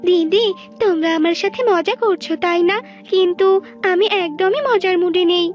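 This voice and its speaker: A woman's voice: very high-pitched, fairly even intonation, moderate pace, highs cut off, soft background music, pitched above the performer's own voice. A woman voicing a small young female bird in a cartoon fable, earnest and trusting, with a plaintive manner. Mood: indignant, hurt. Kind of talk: animated fable character acting.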